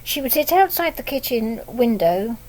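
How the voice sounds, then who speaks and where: A woman reading a picture book aloud to young children, pausing to explain things simply and ask them questions. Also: a little high, sing-song, darkish tone, rough recording. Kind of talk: read-aloud picture book storytelling with asides.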